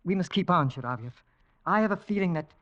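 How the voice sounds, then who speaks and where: A man's voice: high voice, expressive, fast talker, fairly bright tone. A driven, anxious hunter speaks with earnest, theatrical intensity. His voice comes through the dulled sound of a vintage radio drama. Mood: brooding, earnest. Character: driven, anxious husband obsessed with hunting. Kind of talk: old-time radio drama acting. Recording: muffled vintage radio recording.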